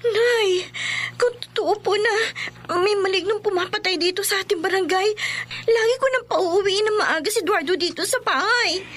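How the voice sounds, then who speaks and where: A woman's voice: very high voice, normal speed, a bit bright. A woman playing a nervous young wife in a radio drama, respectful and hesitant. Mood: worried.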